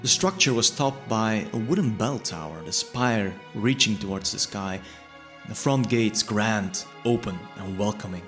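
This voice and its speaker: A man delivering scripted first-person fantasy narration in a measured, descriptive storytelling manner. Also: fairly low pitch, animated, a bit bright. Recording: music bed under the voice.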